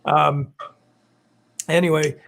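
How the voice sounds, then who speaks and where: A man hosts a live stream in a casual, rambling way, his voice a little hoarse. He sits a bit higher than most men. A faint background hiss runs under his talk. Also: little pitch movement, slow pace, fairly bright tone. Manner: rambling, laughs often, reads viewer comments. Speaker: live stream show host.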